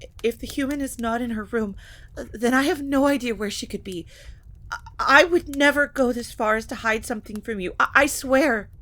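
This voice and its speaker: A woman playing a frightened, high-strung young vampire daughter in an audio drama, stammering and pleading. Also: fairly quick, a bit bright, close to an everyday voice. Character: panicked, stammering vampire daughter cowering before her mother. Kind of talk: audio roleplay drama acting.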